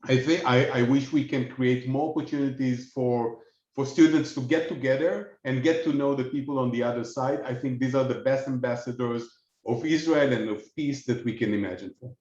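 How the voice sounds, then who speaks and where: A man's voice: mid-range voice, normal speed, darkish tone. A man speaking earnestly on a panel over a video call, restarting words and checking in with "right?". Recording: echoey, dull video call audio.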